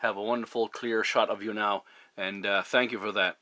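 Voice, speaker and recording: A middle-aged man from Newfoundland, Canada, chatting casually as a CB radio operator, courteous and halting in his phrasing. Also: lowish voice, steady tone, noisy background. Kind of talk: casual radio contact chat. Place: at a CB radio station. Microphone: radio microphone.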